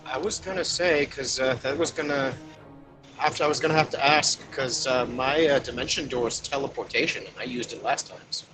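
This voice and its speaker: A man's voice: mid-range voice, fairly quick, low-quality recording. A man playing a tabletop roleplaying game, casually talking through the rules. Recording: prominent music behind the voice. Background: background music.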